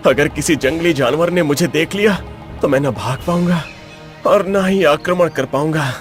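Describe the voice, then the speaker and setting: A man's voice: fairly high pitch, normal speed, faint music behind, close to an everyday voice. A man voicing an earnest, sorrowful young prince in a cartoon story, speaking formally and with feeling. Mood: pained, worried. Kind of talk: dramatized cartoon story dialogue.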